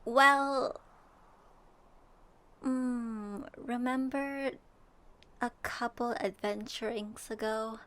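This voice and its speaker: A woman playing an earnest, gently wry young traveler in an ASMR audio roleplay, soft and thoughtful. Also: a little high, steady tone, drawn-out words, long pauses. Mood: sheepish, earnest. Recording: faint noise and ambience.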